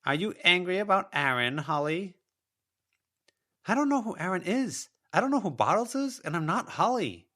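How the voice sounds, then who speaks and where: A man's voice: high voice, big pitch swings, normal speed, sharp tone, voice pushed up, exaggerated voice. A man voicing a defiant young girl with a blunt, argumentative way of talking in an audiobook. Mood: confrontational.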